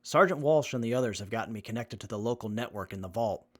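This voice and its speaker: A man acting as an earnest, capable young survivor with a measured, methodical manner in a post-apocalyptic audio drama. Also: on the low side, natural voice. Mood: grave.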